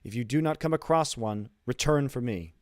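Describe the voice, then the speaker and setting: A man's voice: mid-range voice, normal speed, no echo, clean studio sound, lightly acted. A man voicing a stoic, polite injured sailor in an audiobook, with formal, emphatic delivery. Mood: earnest, grateful. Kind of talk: audiobook character dialogue, literary.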